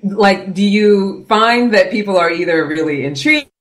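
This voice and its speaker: An American woman hosts a live call-in radio interview. She is chatty and affirming and keeps things upbeat. Her voice sits at a middling pitch. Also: animated, moderate pace. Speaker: radio show host.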